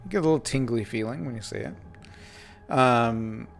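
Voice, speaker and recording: A man narrating a tabletop game as game master, improvising in halting phrases with pauses mid-sentence. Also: medium pitch, faint music behind.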